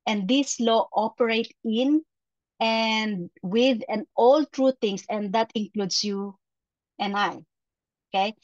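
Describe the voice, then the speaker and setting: A Filipino woman coach delivers a motivational talk at a slow pace. Her phrasing is deliberate and emphatic, with repeated words. The audio is dry and somewhat dull, like a webinar call. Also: on the high side, no room sound. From Philippines. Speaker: performance coach, guest speaker. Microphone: video call.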